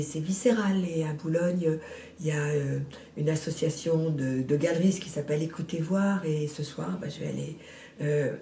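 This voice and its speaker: A woman in her sixties with a cold, chatting in an interview about her first novel, hesitant with many fillers and restarts but lively in bursts. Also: sits low, roomy sound, far from the mic, slight background noise. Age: 60s. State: has a cold. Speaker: guest, novelist. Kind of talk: casual interview chat.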